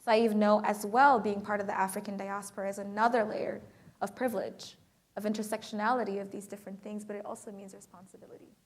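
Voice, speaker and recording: A woman from Philadelphia speaking on a conference panel, emphatic and animated. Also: medium pitch, fast talker. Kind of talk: panel discussion. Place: conference room.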